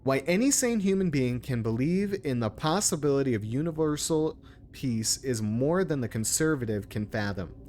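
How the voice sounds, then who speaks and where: A man's voice: mid-range voice, expressive, normal speed, darkish tone, slight background noise. A man streaming a game, commenting casually and reading text aloud in an informal, sometimes stumbling way.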